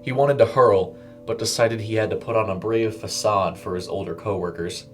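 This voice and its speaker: A man's voice: on the low side, fairly even intonation, normal speed, faint music behind. A man reading scripted narration for a short audio story. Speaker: narrator and voice performer.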